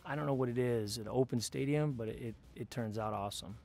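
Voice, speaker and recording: A man in his fifties from Michigan, a monster truck driver, talking in an upbeat, chatty way in an interview in a dark studio. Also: medium pitch, fast, gravelly. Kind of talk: interview answer.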